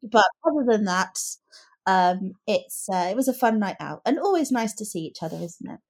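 A woman's voice: mid-range voice, expressive, moderate pace, a little mellow. A woman co-hosting a casual book chat, speaking in articulate, considered phrases. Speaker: podcast co-host.

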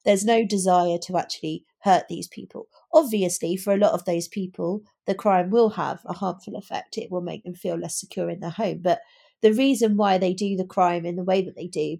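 A woman's voice: fairly low pitch, fairly even intonation, normal speed, creaky, dark tone, husky. A woman co-hosting a conversational book discussion, thinking aloud in long, emphatic explanations. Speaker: podcast co-host.

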